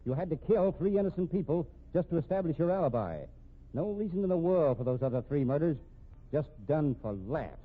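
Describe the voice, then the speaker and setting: An actor playing a wry, dogged police captain with a folksy, probing manner in a 1950s radio detective drama. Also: male voice, a little high, animated, a little fast, narrow, tinny audio. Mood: stern, confident. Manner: folksy, hesitant probing with casual uh and hmm. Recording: thin, band-limited 1950s radio recording.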